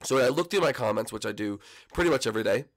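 A young man hosting a gaming channel, talking casually with a hoarse, froggy voice and lots of rambling fillers. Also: medium pitch, expressive, racing. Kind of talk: casual video commentary.

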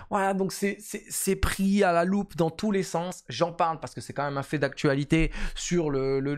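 A man in his thirties casually hosting esports news, chatty and emphatic, with lots of fillers, into a studio mic in a home streaming room. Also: on the high side. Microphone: studio mic on boom arm.

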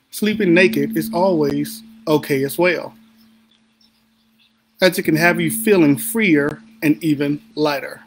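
A man guiding a sleep meditation in a soothing, deliberate manner with unhurried pauses. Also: fairly high pitch, background noise. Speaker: meditation guide. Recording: background music under the voice. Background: music.

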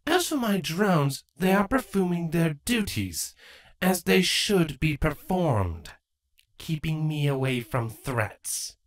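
Guy doing stern changeling queen, curt and guarded, slow deliberate delivery, fantasy story reading. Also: fairly high pitch, very animated, voice pushed up. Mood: cool disdain. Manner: formal, curt, commanding. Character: stern, protective changeling queen, cool and wary. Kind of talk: dramatic fan fiction reading.

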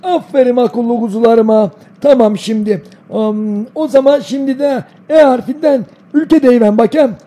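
Man as a high-pitched doting old Denizli grandpa. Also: unhurried, hardly any pauses, warm tone. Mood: fond, chatty. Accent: Denizli, southwestern Turkey. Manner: folksy, doting, encouraging. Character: kindly old village grandfather quizzing his grandchildren. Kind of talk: educational cartoon dialogue.

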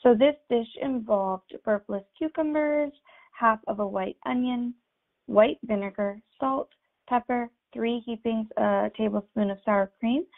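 Gravelly young woman on tinny audio. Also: on the high side. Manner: earnest, with frequent ums. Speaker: midwifery student presenter. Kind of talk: recorded class presentation.

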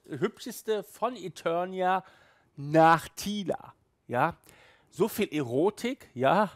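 Man, thirties, review show host, colloquial and chatty, slow overall delivery, dry close recording. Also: fairly high pitch, dry recording. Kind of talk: casual video review to camera. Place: small room with sofa and shelves, indoors.

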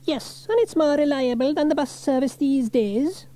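Storybook shopkeeper, voiced by a man: nosy, tart, grumbling woman, high but mellow. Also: moderate pace, no room sound.

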